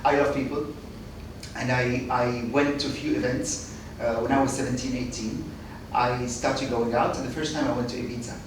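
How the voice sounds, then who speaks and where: A man's voice: medium pitch, steady tone, normal speed. Male podcast guest, thirties, from Kuwait; rambling, laughing storyteller recorded distant in a noisy echoing room. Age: 30s. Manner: chatty, restarts and stumbles, laughs often. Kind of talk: casual interview chat.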